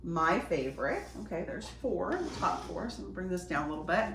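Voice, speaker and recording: A woman chatting enthusiastically to viewers while doing a quick craft project, a bit sniffly from a cold. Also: on the low side, sing-song. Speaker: DIY vlogger. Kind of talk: casual how-to chat while crafting. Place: home decorating space, indoors. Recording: roomy echo, distant from mic, faint noise.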